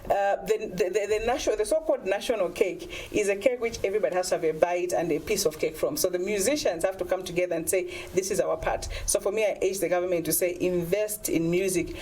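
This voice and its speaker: A woman's voice: on the low side, fast, hardly any pauses, dark tone. A middle-aged woman from Zambia, a former singer, speaking earnestly and persuasively in an interview, often saying "you know". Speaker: guest, former singer and music advocate. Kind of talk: interview answers.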